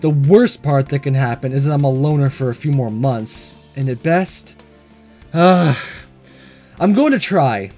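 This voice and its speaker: A man reading a visual novel aloud and commenting on it in a playful, excitable, self-deprecating way. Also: medium pitch, unhurried. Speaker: gaming video host. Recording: music under the voice.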